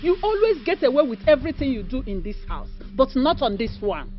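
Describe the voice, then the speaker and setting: A woman's voice: sits high, very animated, a little fast. An actress playing an indignant wife and mother who angrily demands answers from a rival in the household. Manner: shouting, repeating a name insistently. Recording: dull lo-fi recording with faint music.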